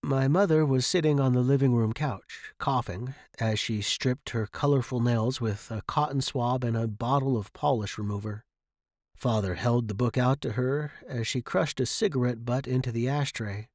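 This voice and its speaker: A man narrates a short story in the first person, as in an audiobook. His pitch is average for a man. The recording is close and dry, with little room sound. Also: normal speed, a little mellow, no room sound.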